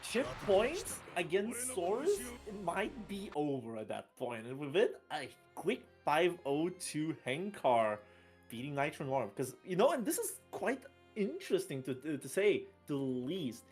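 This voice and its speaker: A man doing play-by-play commentary on a fighting game, excitable and bursting loud at big plays. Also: a little high, a little slow, breathy edge, soft background music. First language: not French. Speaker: esports commentator.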